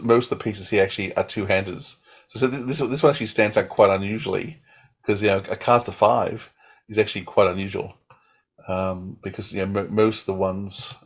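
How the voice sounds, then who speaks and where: Podcast co-host: male, lowish and husky, fast, repetitive casual delivery. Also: steady tone. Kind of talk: casual chat.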